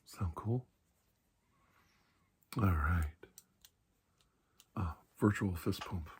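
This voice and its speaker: Hushed, breathy low-voiced man, gently reassuring. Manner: gentle, reassuring, unhurried with pauses. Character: calm, kindly male doctor soothing a frightened patient. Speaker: ASMR performer playing a doctor. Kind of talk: ASMR roleplay, soft-spoken and whispery.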